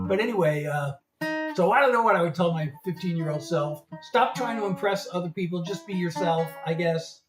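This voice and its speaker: A man in his seventies, a singer-songwriter rambling in casual livestream chat and laughing often. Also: high-pitched. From United States. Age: 70s. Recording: roomy, distant mic, guitar music behind.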